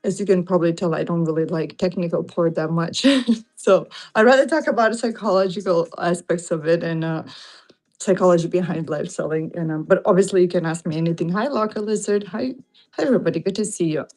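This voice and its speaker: A woman's voice: lowish voice, brisk, darkish tone. A woman from Lithuania with a Lithuanian accent, hosting a casual late-night livestream from home, greeting viewers and laughing often as she talks. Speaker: livestream seller host. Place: home room, sitting on carpet.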